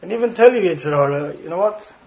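A rabbi speaking English with a Swedish accent, giving an earnest Torah talk. He explains text phrases one by one, clears his throat often and adds dry jokes. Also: sits high, animated, quick pace, muffled recording. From Sweden. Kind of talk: Torah talk to a study hall.

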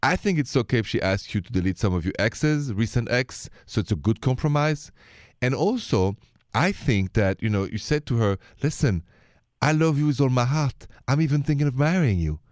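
A man's voice: mid-range voice, animated, normal speed, no echo, studio quality. A man from Paris with a French accent, hosting a call-in radio show and giving relationship advice in a conversational, direct way. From Paris, France.

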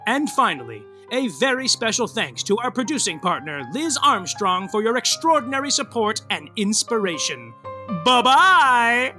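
A man's voice: extremely high pitch, sing-song, moderate pace, bright, forceful tone, soft background music. A man narrating a comic podcast soap opera, reading the end credits in a playful, flamboyant way. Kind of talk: theatrical credits read-out.

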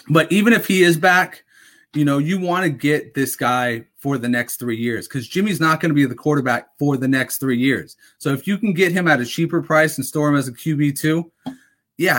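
A young man hosting a casual fantasy football talk, rambling with lots of fillers, his voice going a little raspy. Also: on the high side, fairly bright tone. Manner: rambling, full of fillers and restarts. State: slightly raspy voice. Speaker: fantasy football podcast host.

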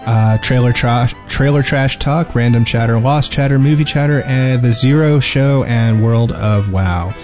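A man co-hosting a podcast in casual chat, speaking in a somewhat hesitant, halting way. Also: medium pitch, a little mellow, soft background music. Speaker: podcast co-host.

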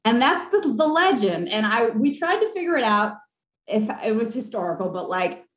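A young woman telling a ghost story on a podcast call, animated and laughing, with lots of fillers. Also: on the high side, normal speed. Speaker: caller. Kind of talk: casual storytelling. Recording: echoey room.

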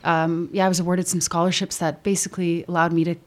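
A young woman in her twenties whose first language is Albanian, a musician guest on a radio show, talking quickly with frequent ums and restarts. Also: on the low side, level tone. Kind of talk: casual radio interview. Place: radio studio.

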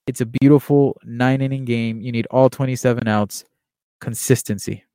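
A young man from Miami, Florida, talking earnestly and quickly in a casual monologue, with plenty of fillers and restarts. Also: medium pitch, fairly even intonation, warm tone, smooth, no room sound. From Miami, Florida, USA. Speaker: podcast host and baseball writer.

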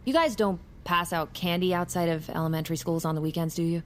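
A woman's voice: lowish voice, fast talker, slight background noise, close to an everyday voice. An actress playing a wry, skeptical woman with a casual, probing manner in a naturalistic audio drama. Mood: wry, weary.

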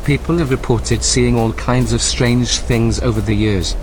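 A man playing a calm, precise artificial intelligence that runs a research station in an audio drama, speaking in a measured, formal, deadpan way. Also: medium pitch, background noise, lightly acted. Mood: measured, skeptical.

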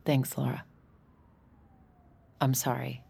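Guarded young woman, low creaky voice. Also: completely flat delivery, takes it slowly, dark tone, heavily acted. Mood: subdued. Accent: American. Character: guarded, anxious, stubborn younger woman hiding secrets. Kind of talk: naturalistic audio drama acting.

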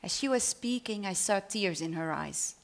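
A woman's voice: mid-range voice, animated, normal speed, creaky. A woman with a Dutch accent, an author speaking on a panel and then reading her own short story in English, a little hesitant. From Netherlands. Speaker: author reading at a literary event.

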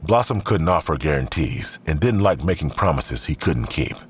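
Very deep male drama narrator. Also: very animated, moderate pace, slight background noise. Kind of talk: scripted audio drama narration.

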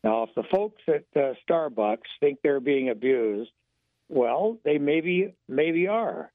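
Hoarse older man calling in by phone. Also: fairly high pitch, expressive, takes it slowly, dry recording. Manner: folksy, indignant storytelling. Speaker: radio caller. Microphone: phone line. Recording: tinny phone line, dry.

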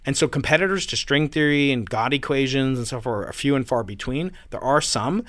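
Animated physicist man, dry sound. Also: mid-range voice, brisk, no echo. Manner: animated, storytelling, pushes back. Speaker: physicist guest. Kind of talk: conversational interview. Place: university building.

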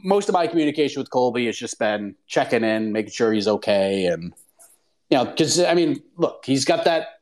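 A man hosting a casual talk show about MMA, rambling with many fillers, restarts and false starts. He has a young child. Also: medium pitch. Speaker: MMA journalist and show host.

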